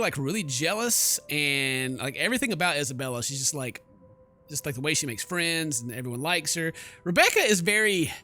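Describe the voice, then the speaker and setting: A man's voice: fairly high pitch, sharp tone. A man hosting a gaming video, commenting casually with sarcastic, mocking asides and sometimes reading narration aloud. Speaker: gaming video host, let's player. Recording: game music under the voice.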